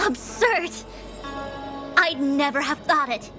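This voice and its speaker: Proud little diviner boss lady from a game, highish voice, clipped n haughty. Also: lively intonation, takes it slowly. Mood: indignant, haughty. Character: proud, brisk, imperious young female diviner official. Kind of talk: video game character dialogue. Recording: studio voice over game music.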